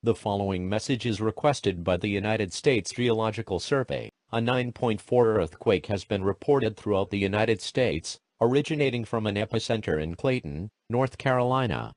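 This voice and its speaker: A man's voice: fairly low pitch, normal speed, hardly any pauses, a little hiss or hum. A man reading scripted emergency alerts as an announcer, in a formal, impersonal, robotic way.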